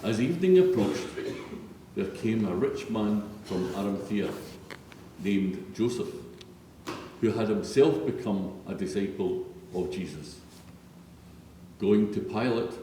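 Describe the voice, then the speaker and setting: A man narrates a solemn scripture reading. His voice is mellow and sits fairly low. He is heard from a distance in a very echoey church, with faint noise. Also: animated, a little slow. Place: large echoey church interior.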